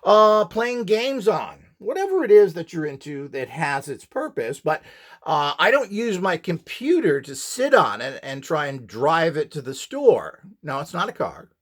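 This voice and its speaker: A man's voice: a little high, very animated, measured pace, a bit bright. A middle-aged man giving an informal, opinionated lecture as an instructor, rambling off the cuff in a blunt, scornful and emphatic way. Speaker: instructor and host of a how-to video series.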